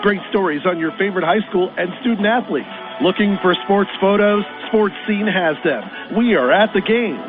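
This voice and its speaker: A man's voice: high-pitched, animated, moderate pace. A man reading an upbeat radio ad, peppy and promotional. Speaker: radio ad announcer. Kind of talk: upbeat ad read. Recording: phone-like radio ad over music.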